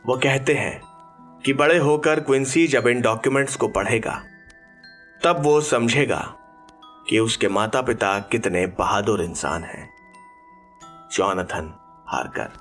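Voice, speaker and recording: A man narrating a horror audiobook in a measured, deliberate, dramatic storytelling way over background music. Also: medium pitch, fairly bright tone.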